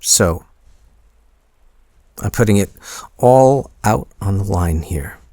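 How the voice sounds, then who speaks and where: A man's voice: on the low side, animated, slow, mellow, no echo, clean studio sound, full character voice. A man playing a shy, smitten young man in an intimate boyfriend roleplay audio, speaking hesitantly with bashful stammers. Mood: tender, earnest.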